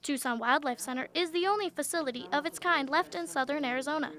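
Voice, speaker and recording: A woman's voice: high voice, fast, a bit bright. An American woman working as a TV news reporter, reading scripted broadcast narration with polished, emphatic delivery.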